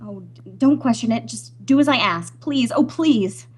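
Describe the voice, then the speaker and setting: A woman's voice: a little high, expressive, unhurried, a little mellow, breathy edge. A woman playing an anguished young island daughter torn by love, pleading breathlessly in an old-time radio melodrama. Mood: urgent pleading.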